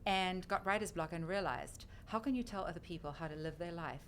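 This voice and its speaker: A South African woman in her fifties, a chatty and animated author telling her story as a guest on a talk radio show. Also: lowish voice, a little fast, a little hiss or hum.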